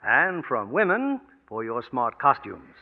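A man's voice: mid-range voice, huge pitch swings, a little slow. A man hosting a 1940s radio program in a scripted, formal presenting style. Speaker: radio host. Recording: tinny 1940s radio broadcast.

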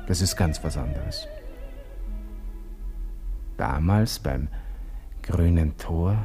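An actor playing a brash, bored young Viennese army lieutenant, musing snappishly in a radio drama interior monologue. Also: male voice, low voice, wildly swooping pitch, extremely slow, mellow, heavily acted. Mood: reminiscing. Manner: musing, snappish, self-important. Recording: music behind, 1960s radio studio recording.

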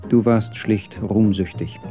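A kept husband and failed director with a low voice. He needles in a sardonic, mock-affectionate way, in a radio play with music behind him. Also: fairly even intonation, takes it slowly, warm tone. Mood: bitter sarcasm. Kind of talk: radio drama acting.

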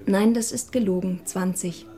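Actress as young woman, medium pitch, earnest, naive, frank, radio drama. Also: steady tone, moderate pace, mellow, close to an everyday voice. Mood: candid. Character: candid, shy twenty-year-old aspiring woman writer.

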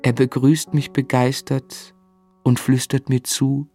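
A devoted, reflective novelist looking back in a literary radio drama. His delivery is earnest and measured, at a medium pitch. Music plays clearly beneath the voice. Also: flat delivery, dark tone. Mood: serene, nostalgic. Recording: studio radio play with music underneath.

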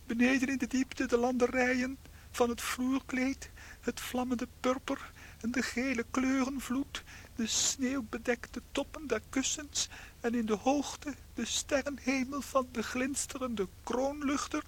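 A woman playing a tiny shrunken unfaithful husband in a radio play, theatrical, anxious and guilt-ridden, with a high husky voice. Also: little pitch movement, a little slow. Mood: rapturous.

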